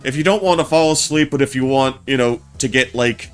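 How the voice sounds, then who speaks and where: A man streaming a game, chatting casually in a naturally low voice, often stammering and restarting his sentences. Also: fairly quick, clear, resonant tone. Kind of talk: casual let's-play commentary. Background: game music.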